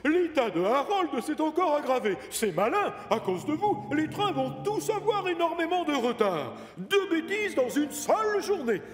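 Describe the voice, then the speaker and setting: A man's voice: extremely high pitch, sing-song, moderate pace, few pauses, soft background music. A man playing a stern, easily outraged railway boss with a scolding manner in a children's cartoon dub, his voice echoing. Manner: scolding, indignant.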